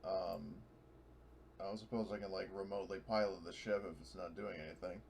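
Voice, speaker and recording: A man's voice: low-pitched, fairly even intonation, brisk, creaky, a little mellow, slight background noise. A man playing a tabletop roleplaying game, chatting casually and hesitantly as he thinks aloud.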